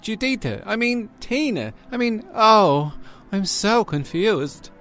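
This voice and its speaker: A man's voice: on the high side, sing-song, moderate pace, faint music behind. A man playing an earnest, easily confused woman sidekick in a comedy radio serial. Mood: flustered. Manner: earnest, flustered.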